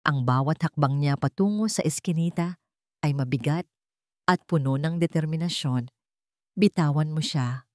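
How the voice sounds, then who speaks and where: A woman's voice: sits low, normal speed, no room sound, clean studio sound. A woman reading a scripted romantic drama as a storyteller, in dramatic narration.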